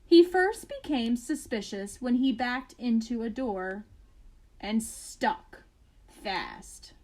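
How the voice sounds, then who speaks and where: A woman reading a children's picture book aloud as a bedtime story, with expressive emphasis and casual asides. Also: a little high, takes it slowly.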